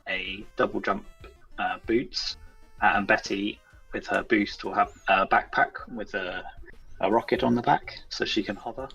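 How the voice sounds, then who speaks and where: A man's voice: lowish voice, fairly even intonation, moderate pace, gravelly, a bit bright, breathy edge, lo-fi sound, soft background music. A middle-aged man, a game developer, answering questions casually as an interview guest. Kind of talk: casual interview answers about own work.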